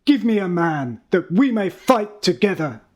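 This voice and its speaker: A man acting as giant warrior champion, high-pitched husky voice, taunting, loud declamation, Bible story. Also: big pitch swings, unhurried, full character voice. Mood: defiant challenge. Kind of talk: dramatised Bible reading.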